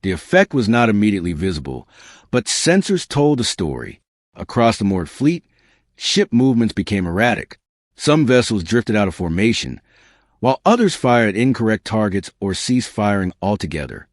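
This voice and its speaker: A man's voice: fairly low pitch, sing-song, normal speed, no echo. Man narrating sci-fi, dry close sound. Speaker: audiobook narrator. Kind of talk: scripted narration of a sci-fi story.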